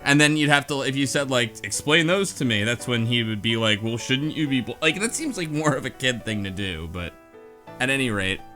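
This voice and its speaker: Man chatting with lively swings in pitch. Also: fairly quick. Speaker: podcast co-host. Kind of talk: casual chat, interjecting. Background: music under the talk.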